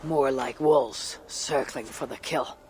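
A woman playing a stern, weary Viking warrior leader in a video game, grave and measured. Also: rumbling low, darkish tone, faint music behind. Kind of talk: dramatic game cutscene acting.

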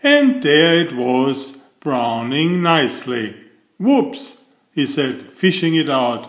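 A man reading a children's picture book aloud as storyteller, with deliberate, emphatic phrasing. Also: medium pitch, very animated, a bit bright, echoey room.

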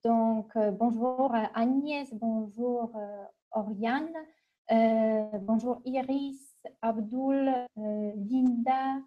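A middle-aged woman from Poland with a Polish accent, presenting an informal webinar in a halting, conversational way. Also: on the high side, fairly even intonation. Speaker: university international relations manager, webinar presenter. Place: small office, indoors. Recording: dull, lo-fi webcam audio.

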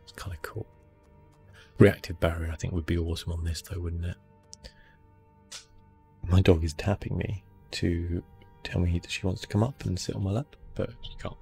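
A man speaks in a low, hushed murmur with a gravelly creak in it. He gives relaxed ASMR gameplay commentary, often slipping toward a whisper, with faint music underneath. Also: normal speed, long pauses. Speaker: gaming video host.

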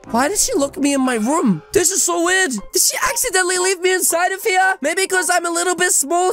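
Gaming host, male, 20s: very high, playful and theatrical kid-like role-play delivery over faint music. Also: a bit bright. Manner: exclamatory, theatrical, playing a naive kid. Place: small home gaming room.

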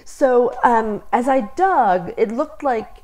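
A woman's voice: fairly high pitch, lively intonation, slow pace, darkish tone. A woman co-hosting a podcast, chatting and explaining informally in an easygoing, playfully dry way. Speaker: podcast co-host. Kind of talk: casual chat, informal explaining.

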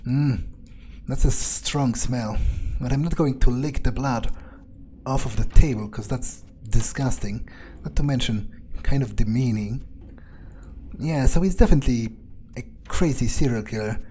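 A man with a mellow, dark voice thinks aloud as his game character. He rambles hesitantly and swears casually, with faint game ambience behind him. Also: mid-range voice, measured pace, slight background noise. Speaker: let's player.

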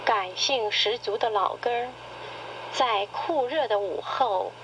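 A woman narrator reciting a sentimental essay in a measured, poetic radio-drama style, played back from a cassette. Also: a little high. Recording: noisy cassette tape playback.

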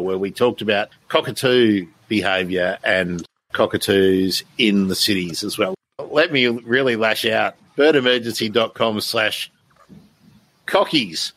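A man's voice: on the low side, a bit bright. A man hosting a live stream in a casual, chatty, self-deprecating way. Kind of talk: casual chat.

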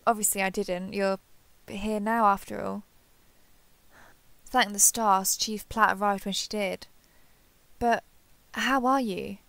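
A woman playing a warm, earnest female alien starship captain in a sci-fi roleplay audio drama. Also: mid-range voice, fairly even intonation, takes it slowly, creaky, no room sound. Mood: stunned, tender. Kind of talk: roleplay audio drama, one-sided dialogue.

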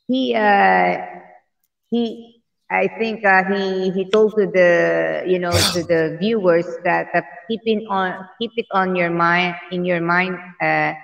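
A woman from the Philippines with a Filipino accent, chatting haltingly on a livestream and searching for her words. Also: on the low side, vocal fry, a bit bright. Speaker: livestreaming artist, host. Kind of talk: casual chat on a livestream call. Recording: echoey, dull livestream call audio.

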